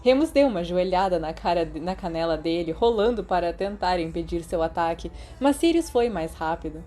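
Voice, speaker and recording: A woman with a Brazilian accent reading a story aloud as an audiobook narrator, in an expressive scripted style. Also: on the low side, fairly quick, faint music behind.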